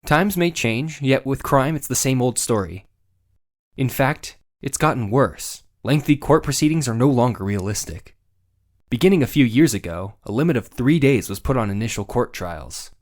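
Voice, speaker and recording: A woman's voice: a bass voice, animated, normal speed, darkish tone. A woman voicing an earnest, wry young male defense lawyer in a video game dub. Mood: brooding.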